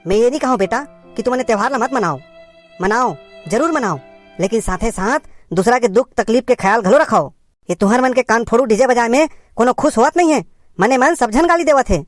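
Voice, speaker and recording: Woman acting cartoon village uncle, Chhattisgarhi accent, highish pitch, concerned, gently scolding, dry sound, music behind. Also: expressive, a little fast, no echo, natural voice. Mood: admonishing, earnest. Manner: admonishing, hesitant, lecturing. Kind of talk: cartoon comedy dialogue.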